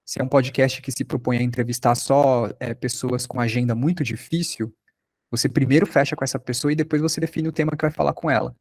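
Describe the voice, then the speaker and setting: A man's voice: mid-range voice, fairly even intonation, quick pace, darkish tone, no echo. A Brazilian man teaching a podcast workshop in an informal, conversational way over an online video call.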